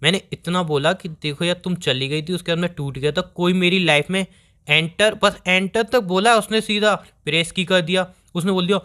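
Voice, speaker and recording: A man acting as a harried young boyfriend with a fairly high voice. He is placating and whiny, repeating himself as he pleads to explain his side of a lovers' quarrel. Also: moderate pace, pushed and bright. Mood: defensive. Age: young adult.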